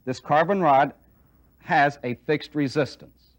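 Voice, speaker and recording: A middle-aged American man giving a technical lecture as a military instructor, deliberate and methodical, stressing key points by repeating them. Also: a little high. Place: indoor training film classroom studio with chalkboard and desk.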